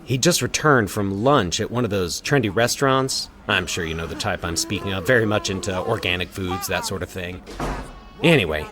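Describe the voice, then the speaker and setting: A man playing a weary, measured doctor explaining things in a dramatic story reading. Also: low-pitched, over music, natural voice. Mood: resigned.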